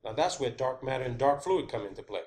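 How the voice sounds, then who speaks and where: A man's voice: medium pitch, expressive, quick pace, darkish tone. A man presenting an unscripted online monologue, insistent and emphatic, swearing often and repeating himself to drive points home. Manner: rhetorical questions and repetition for emphasis. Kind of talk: unscripted conspiracy theory monologue.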